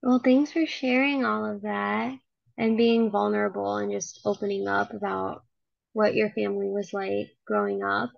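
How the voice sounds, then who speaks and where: A woman's voice: mid-range voice, little pitch movement, normal speed, gravelly, dry recording. A young woman interviewing a family member for a class project, friendly and encouraging, often saying "like". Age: young adult. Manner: friendly and encouraging, many "like" fillers. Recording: slightly dull, lo-fi audio.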